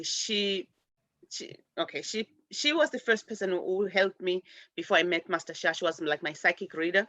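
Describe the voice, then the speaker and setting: A woman's voice: fairly low pitch, bright, forceful tone, no room sound, muffled recording. A woman host from Zimbabwe, rambling loosely in a spiritual chat. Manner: rambling, laughs through her words.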